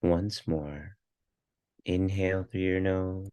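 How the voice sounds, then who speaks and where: A man with a low voice guides a meditation at an extremely slow pace. He speaks calmly in short, measured phrases, leaving pauses between them. The sound is dry and close, without room echo. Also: clear, resonant tone. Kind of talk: guided meditation, spoken instructions.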